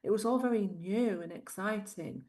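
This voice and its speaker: A woman's voice: medium pitch, normal speed, darkish tone. A middle-aged woman with a Yorkshire accent, a guest telling her life story thoughtfully and laughing often. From Yorkshire, England. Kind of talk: interview storytelling.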